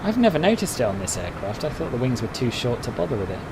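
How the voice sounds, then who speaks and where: Man, relaxed conversational live-stream talk, answering chat, steady background noise. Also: mid-range voice, quick pace. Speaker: flight sim streamer.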